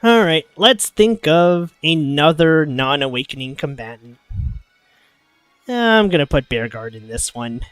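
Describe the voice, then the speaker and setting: A sniffly man talking through a game with a bouncy, lively pitch that sits a bit high, taking his time over each thought. He swears casually and laughs a lot between points. A little music plays faintly under his dry, close voice. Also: measured pace, clear, resonant tone, no room sound. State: sniffly, coming down with a cold. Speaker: streamer.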